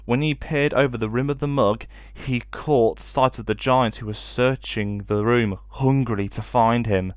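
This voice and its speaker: A man's voice: medium pitch, steady tone, normal speed, few pauses, no room sound. A man reading a children's story expressively as a storyteller.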